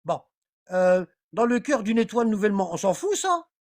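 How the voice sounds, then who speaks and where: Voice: medium pitch, sing-song, moderate pace, a little mellow. A religious teacher running an informal online class, often repeating phrases and asking listeners if they follow. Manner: repetitive, rambling, checks for understanding; reads aloud from text, then comments.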